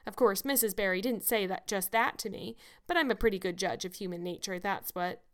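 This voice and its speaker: A woman's voice: mid-range voice, fairly quick, close to an everyday voice. Storybook role: older village busybody, played by a woman narrator, blunt, folksy, sure of her own judgment. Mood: gossipy, knowing. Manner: folksy, sage, scolding. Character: blunt, opinionated, gossipy older village matron.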